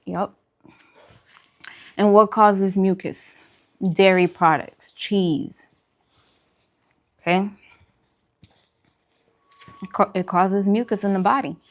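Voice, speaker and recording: A woman's voice: mid-range voice, fairly even intonation, takes it slowly, pauses often. A woman with a mid-range voice talks casually to her viewers, as in a food vlog. She explains things in an informal, conversational way and stresses her points by repeating them. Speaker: food and wellness vlogger. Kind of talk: casual mukbang chat giving diet advice.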